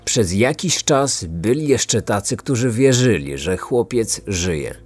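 Low-voiced male true-crime narrator. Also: expressive, slow, a little mellow, slightly rough. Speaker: true-crime podcast host. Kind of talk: scripted documentary narration. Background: music.

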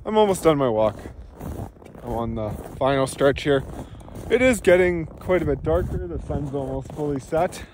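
A man's voice: a little high, moderate pace, slight background noise. A man in his thirties chatting casually to a phone camera held at arm's length, rambling with plenty of fillers, in his truck and out on a snowy trail. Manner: relaxed, rambling with many fillers. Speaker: vlogger. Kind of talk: casual vlog chat.

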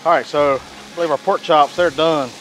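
A man's voice: fairly high pitch, fairly even intonation, moderate pace, a bit bright, a little hiss or hum, poor audio. A man, chatting casually while cooking outdoors on a beach for his cooking channel, on a clip-on lapel mic. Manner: folksy, chatty, says "y'all" often.

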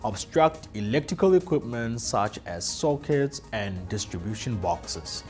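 Slow, lively-voiced male safety presenter. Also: medium pitch. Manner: slow, deliberate, emphatic list delivery. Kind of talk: scripted corporate safety narration. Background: soft background music.

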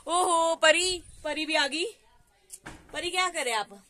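A woman's voice: extremely high pitch, slow, bright, forceful tone. A young woman with a Pahari accent, vlogging outdoors on a hillside with a phone at arm's length, chatty, giggly and teasing. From Himachal Pradesh, India. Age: young adult. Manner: giggly, teasing, talks straight to viewers. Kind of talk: casual chatty vlog. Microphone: phone camera held at arm's length.

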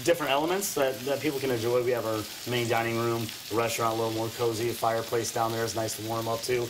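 A man's voice: medium pitch, steady tone, fast talker. An American man in his thirties, a restaurant owner casually explaining his food as a guest cook. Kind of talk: casual explaining while cooking. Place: indoor TV studio kitchen. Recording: faint pan sizzle and stirring noise.

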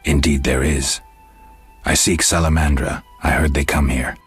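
A stoic, world-weary monster slayer in a fantasy video game. The voice sits extremely low, with terse, deadpan delivery. Faint music runs behind it. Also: male voice, moderate pace. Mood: calm, terse.

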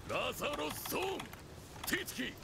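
A man playing a burly, gruff, hearty admiral in a video game, with a gravelly voice and booming, clipped exclamations. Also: very animated, unhurried, noisy background, full character voice. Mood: rousing. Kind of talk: video game cutscene acting in an invented language. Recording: loud music behind the voice.

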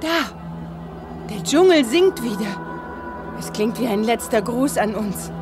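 A woman's voice: a little high, lively intonation, a little slow, music in the background. A woman playing a spirited, kindly young space adventurer in a children's sci-fi radio play. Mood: delighted.

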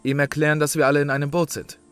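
A man's voice: on the high side, flat delivery, very fast, fairly bright tone, smooth, faint music behind, close to an everyday voice. An actor playing a sober, pragmatic male survival contestant in a horror audio drama, speaking matter-of-factly. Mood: calm, pragmatic. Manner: matter-of-fact.

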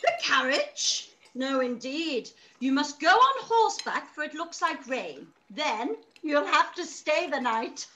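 Fussy scheming mum in a radio play, high swoopy voice, noisy video call. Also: female voice, big pitch swings, takes it slowly, few pauses, pushed and bright, exaggerated voice. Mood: bossy, scheming. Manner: theatrical, exclamatory. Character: fussy, scheming, excitable middle-aged mother. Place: room at home, on a video call.

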